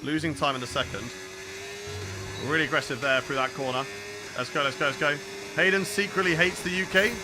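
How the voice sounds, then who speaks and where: A man's voice: a little high, fairly quick, pauses often. A young British man streaming a racing game, chatting casually and reacting aloud while answering viewers. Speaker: gaming streamer. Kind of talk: live gameplay commentary and chat replies. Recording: racing game engine noise behind. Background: clear racing game car sounds.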